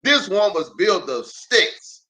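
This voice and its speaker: A man who is a pastor reading a children's story aloud in an animated, theatrical way with drawn-out emphasis. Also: high voice.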